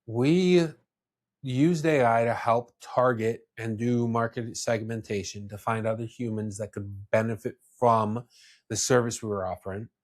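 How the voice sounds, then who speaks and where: A man's voice: medium pitch, steady tone, unhurried. A man speaking casually as a podcast host, explaining his views with restarts and asides.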